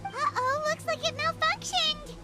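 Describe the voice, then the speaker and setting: A woman voicing a tiny, excitable, childlike fairy companion in a video game, with a squeaky voice. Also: measured pace. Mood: alarmed. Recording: loud game music behind.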